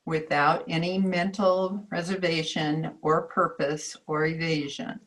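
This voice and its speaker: A woman's voice: low voice, flat delivery, a little slow, a bit bright, poor audio. A woman on a video call repeating her oath of office carefully as a new board member. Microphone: home microphone on a video call.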